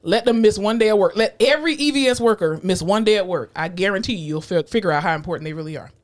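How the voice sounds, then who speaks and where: A woman co-hosting a casual podcast chat, blunt and animated, swearing freely and joking as she rants. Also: medium pitch, quick pace. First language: English. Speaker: podcast co-host.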